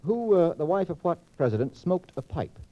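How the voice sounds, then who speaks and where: A man's voice: fairly high pitch, big pitch swings, normal speed. A man moderating a 1940s radio panel quiz in a precise, wordy, teasing manner. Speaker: quiz show host. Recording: muffled 1940s radio transcription disc.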